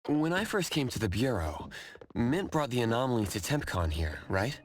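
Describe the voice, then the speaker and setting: A man's voice: medium pitch, big pitch swings, normal speed, no room sound. A man playing an earnest, inquisitive young bureau newcomer in a video game, speaking in a questioning way. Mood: curious. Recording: faint music behind a dry voice.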